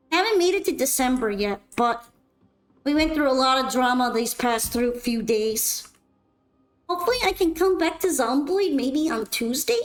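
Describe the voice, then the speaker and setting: A young woman streamer chatting casually while gaming, her voice a little raspy and worn out. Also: sits high, echoey room, rough recording. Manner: chatty, rambling, upbeat sign-off. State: slightly raspy, tired voice. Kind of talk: casual gameplay stream chat.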